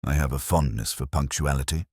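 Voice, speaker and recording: A man's voice: extremely low pitch, a little fast, no room sound. A man voicing a precise, commanding male detective with dry wit and a clipped manner in an audiobook. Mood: dry, probing. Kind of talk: audiobook character dialogue.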